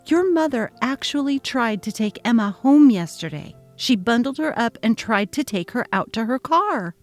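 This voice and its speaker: A woman's voice: on the high side, lively intonation, moderate pace, soft background music, lightly acted. A young woman voicing an exhausted new mother, speaking earnestly and pleading. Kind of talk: dramatic reading of a text-message story.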